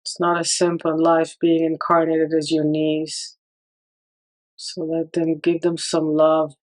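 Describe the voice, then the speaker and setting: Slow, even-toned woman guiding meditation. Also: low voice, low-quality recording. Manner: calm, soothing, with long pauses. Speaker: meditation guide. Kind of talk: guided meditation.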